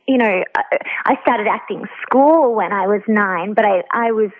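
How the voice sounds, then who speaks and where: A woman's voice: medium pitch, moderate pace. A woman speaking as an interview guest over a telephone, chatting casually and thoughtfully with frequent hesitations. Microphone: phone call. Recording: thin telephone line.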